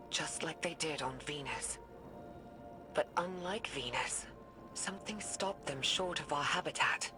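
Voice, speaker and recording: An actress playing an earnest, weary scientist recording a game audio log in a thoughtful, measured way with hushed phrase endings. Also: female voice, low voice. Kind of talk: confessional audio log, dramatic game acting. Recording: music behind the voice.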